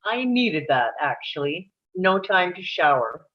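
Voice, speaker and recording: Slow, wry ward nurse played by a woman. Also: lowish voice, sing-song, lo-fi sound. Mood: wry, matter-of-fact. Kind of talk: live script table read.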